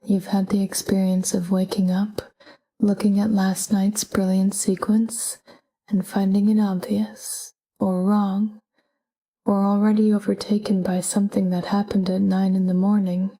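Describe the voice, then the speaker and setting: A woman speaks in a soft, breathy half-whisper, guiding a sleep meditation. Her delivery is slow and even, with a mellow tone that stays calm and gentle throughout. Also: mid-range voice, poor audio. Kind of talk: scripted guided sleep meditation, soft spoken.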